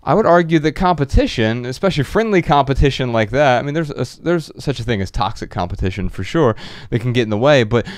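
A male podcast host in his late thirties with a very clean, smooth voice at a middling pitch. He talks conversationally into a close studio mic, and the sound is dry. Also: lively intonation, fast, no room sound. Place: small dark podcast studio. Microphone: studio microphone on boom arm, close.

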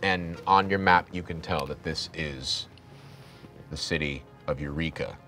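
A man's voice: rumbling low, measured pace, sharp tone, soft background music. A man in his thirties narrating a tabletop game as game master, in a loose, meandering way with fillers, at a table on a studio set.

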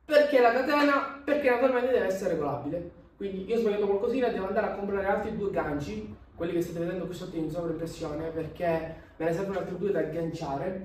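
A man's voice: sits high, fast, slight background noise. A young man casually explaining his home gym gear, talking toward a camera across a large indoor gym. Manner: chatty, explains while pointing at gear. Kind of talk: casual how-to vlog. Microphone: camera across the room. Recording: very echoey room from far off.

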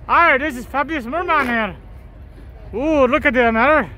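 A woman's voice: sits high, moderate pace, bright, forceful tone, a little hiss or hum. A woman vlogger walking through an outdoor convention promenade, giving casual commentary in a put-on pirate manner with growled "arr" interjections. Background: faint crowd chatter.

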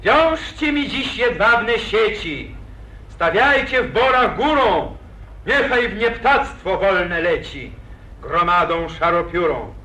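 An actor playing a boastful young hero of an old epic, declaiming verse with pathos in 1940s radio acting. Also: male voice, sits high, lively intonation, takes it slowly, sharp tone, heavily acted. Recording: echoey old radio recording.

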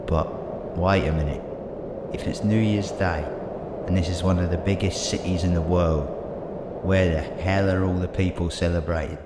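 A man's voice: low voice, steady tone, normal speed, close to an everyday voice. A man playing an eccentric, earnest, reassuring time-travelling hero in an amateur audio drama. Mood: musing. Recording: echoey amateur audio drama, very noisy background.

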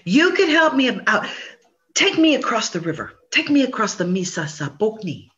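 A woman voicing a boastful, vain male possum in a told story, calling out high and shrill, pleading and wheedling. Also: very animated, normal speed, a bit bright. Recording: dull lo-fi recording.

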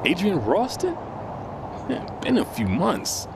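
A crude, animated down-on-his-luck guy. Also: high-pitched, slow, creaky, background noise, heavily acted. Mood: sheepish, sardonic. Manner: blunt, sardonic. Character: Eddie, crude, down-on-his-luck jobless man seeking revenge. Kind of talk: naturalistic audio drama acting.

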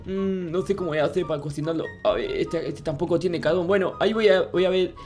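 A man's voice: high voice, fast talker, a little mellow, lightly acted. A man playing a naive, childlike, devoted little character in improvised video game roleplay, stammering and pleading. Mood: earnest explaining. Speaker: gameplay roleplay YouTuber. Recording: music behind the voice.

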